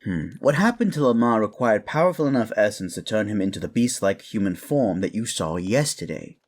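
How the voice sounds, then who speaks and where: A man's voice: on the low side, lively intonation, fairly quick. A man playing a kindly, avuncular animal craftsman with whiskers and a tail in a fantasy audio drama. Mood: grave, thoughtful.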